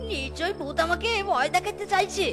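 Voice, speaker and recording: A performer voicing a henpecked male cartoon fox, whiny and cheeky, exclaiming and complaining in a squeaky voice. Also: fairly quick. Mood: miserable, complaining. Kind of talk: animated cartoon dialogue. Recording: music behind the voice.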